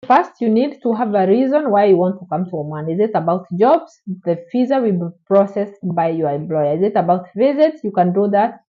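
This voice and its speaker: A woman's voice: mid-range voice, expressive, a little fast, few pauses, narrow, tinny audio. Woman giving friendly advice to viewers. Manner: encouraging, repetitive, direct address. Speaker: vlogger answering viewer questions. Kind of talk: casual advice vlog. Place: Oman.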